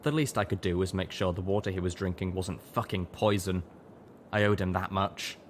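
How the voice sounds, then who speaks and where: A man's voice: low voice, moderate pace, a little hiss or hum. A man reading first-person dramatic story narration as an audiobook narrator.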